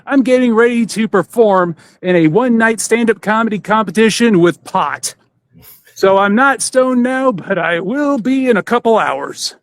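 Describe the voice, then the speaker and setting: A man's voice: high-pitched, lively intonation, moderate pace, no echo, studio quality. A man hosting a podcast, talking casually in a rambling, enthusiastic fan's way. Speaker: podcast host. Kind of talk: casual chat about wrestling. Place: outdoors in front of a theater.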